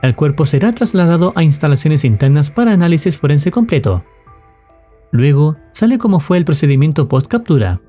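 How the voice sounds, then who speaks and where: A man's voice: on the high side, sing-song, fairly quick, mellow, soft background music. A man delivering formal, dramatic scripted narration that recaps an analog horror story.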